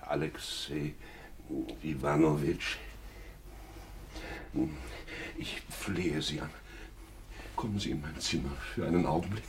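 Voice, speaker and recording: A man playing an anxious, desperate general in a radio drama, halting and imploring as he repeats himself. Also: very deep voice, background noise. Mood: hushed pleading.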